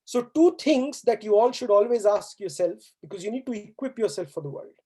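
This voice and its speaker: A woman from India, a native Hindi speaker, delivers an earnest, exhorting valedictory address at a university. She drives her points home with rhetorical questions and repeated phrases, pitched in a middle register. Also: lively intonation, brisk, dark tone, husky. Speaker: guest speaker. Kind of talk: formal valedictory speech. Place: university auditorium.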